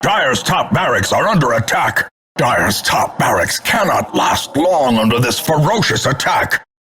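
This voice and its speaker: An actor playing a grim, scornful older male announcer in a video game, grandiloquent and portentous, with a gravelly, husky voice. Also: medium pitch, very animated, unhurried, sharp tone, soft background music, heavily acted. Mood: urgent warning. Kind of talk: theatrical scripted game announcements.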